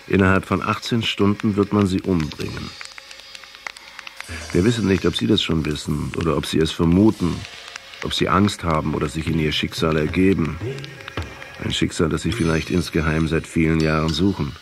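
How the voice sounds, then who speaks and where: A man playing a pensive middle-aged historian who narrates a radio drama in a husky, reflective, measured way. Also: extremely low pitch, fairly even intonation, faint music behind. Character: pensive 39-year-old male historian questioning a captive.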